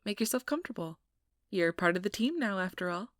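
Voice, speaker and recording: A woman playing an ambitious, sugary, manipulative vampire in an ASMR roleplay, speaking in a breathy, whispery voice with coaxing, condescending sweetness. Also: mid-range voice, expressive, fairly quick, no room sound, clean studio sound, close to an everyday voice. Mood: brisk, welcoming. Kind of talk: ASMR roleplay, soft whispered monologue to listener.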